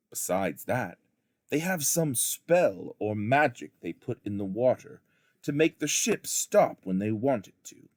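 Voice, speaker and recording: A man reading a memoir aloud as a teacher would, with deliberate, dramatic phrasing. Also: medium pitch, a little mellow, no room sound, studio quality. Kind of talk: expressive audiobook narration of a memoir.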